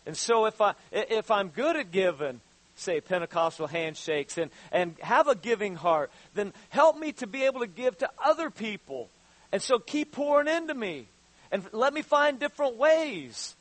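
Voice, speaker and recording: A pastor in his fifties preaches with a high voice, doubling back over his words before rising into urgent calls to his listeners. The sound is dry and close, with almost no room around it. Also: big pitch swings, a bit bright, no room sound, poor audio. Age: 50s. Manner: halting, repeats words, rises to fervent exhortation. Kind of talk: sermon.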